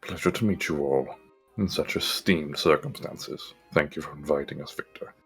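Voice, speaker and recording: A man's voice: a bass voice, steady tone, moderate pace, fairly bright tone, breathy edge, faint music behind, full character voice. A man playing a formal, gracious vampire nobleman, polite and stately, in a Minecraft roleplay.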